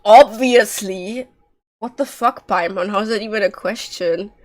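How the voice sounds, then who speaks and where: Slow-paced woman streaming a game. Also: medium pitch. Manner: joking, sarcastic, swearing. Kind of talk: casual livestream commentary on a game.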